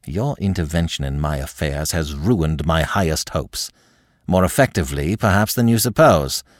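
A man voicing a proud, despairing French marquis in an audiobook, speaking with icy, measured formality. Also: a bass voice, expressive, no echo, clean studio sound, pitched below the performer's own voice. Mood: icy contempt. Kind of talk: dramatic audiobook character acting.

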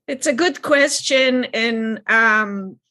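Calm woman in her 50s. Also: on the high side, slow pace. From Israel. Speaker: child development expert guest. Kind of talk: conversational interview answers.

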